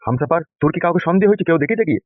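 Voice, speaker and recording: Man playing a pompous crooked cartoon politician, bossy gang boss, rapid delivery, dull lo-fi sound. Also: a little high, expressive, dry recording, lightly acted. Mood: suspicious. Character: corrupt, lecherous, blustering male politician and gang boss. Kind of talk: animated comedy cartoon dialogue.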